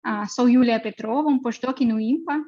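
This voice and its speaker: A woman's voice: fairly high pitch, normal speed, dull audio. A young woman from Russia, speaking with a Russian accent, gives a hesitant seminar lecture full of fillers. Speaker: postdoctoral researcher.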